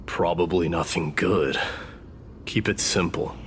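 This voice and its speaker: Deep, proud male warrior. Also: slow, a little hiss or hum. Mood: disdainful. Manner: terse, haughty. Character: proud, stoic, gruff warrior prince. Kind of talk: video game voice acting.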